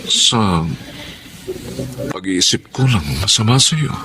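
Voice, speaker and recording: Low-voiced Filipino househusband, earnest. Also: male voice, expressive, slow pace. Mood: apologetic. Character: frustrated, insecure jobless househusband, humbled and earnest. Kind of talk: Filipino radio drama acting. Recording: loud music under the voice.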